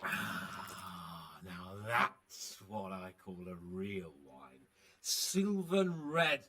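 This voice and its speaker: Cocky young lord, bright, animated. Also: male voice, mid-range voice. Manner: teasing, pompous. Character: cocky young nobleman, playful and fond of wine. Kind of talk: video game cutscene acting.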